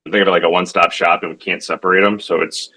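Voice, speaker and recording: Man, low pitch, bright forceful tone, casual product demo, conversational, self-correcting, founder explaining his software. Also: little pitch movement. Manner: halting, self-correcting, conversational, checks in with "right?". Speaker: tech company founder demonstrating his product.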